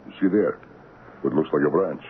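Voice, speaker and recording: An actor playing a courteous, patient, quietly ominous African trading-post assistant in a radio drama, speaking measured, broken English. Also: male voice, very deep voice. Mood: grave warning. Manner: measured, deferential, foreign-sounding broken English. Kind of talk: 1970s radio drama acting. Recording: muffled, noisy 1970s AM radio recording.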